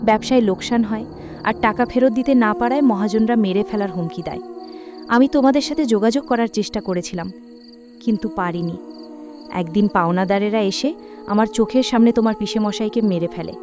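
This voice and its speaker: A woman voicing a caring but scolding middle-aged ghost aunt in an animated horror story. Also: medium pitch, a little fast, over music, close to an everyday voice. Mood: sorrowful, explaining.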